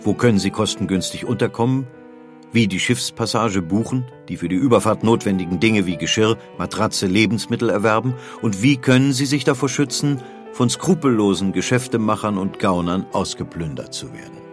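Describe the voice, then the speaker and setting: A male narrator with a fairly low voice reads a documentary script deliberately, pausing for effect. Faint music plays underneath.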